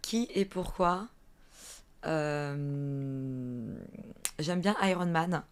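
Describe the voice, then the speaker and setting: A woman in her thirties from the Vendée in France, a fitness coach chatting as a podcast guest, often pausing with filler sounds. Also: sits low, clear, resonant tone. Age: 30s. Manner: hesitant, many filler sounds and restarts. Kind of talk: casual interview chat.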